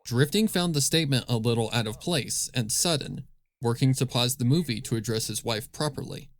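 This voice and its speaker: A man's voice: medium pitch, fairly quick. A man reading scripted fan fiction as the narrator of a story.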